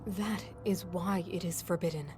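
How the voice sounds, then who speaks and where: An actress playing a learned, scholarly non-human sage and older sister, explaining in a measured, thoughtful way. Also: female voice, on the low side, fairly even intonation, music in the background, close to an everyday voice. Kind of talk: game cutscene voice acting.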